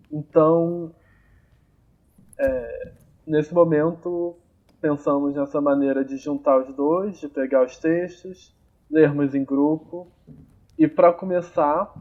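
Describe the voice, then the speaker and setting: A young man hosts a casual podcast at an easy, unhurried pace, his voice at a middling pitch. He thinks aloud as he talks, with frequent fillers and restarts. The home recording sounds slightly dull and lo-fi. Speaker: podcast host. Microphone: amateur home recording, phone or computer.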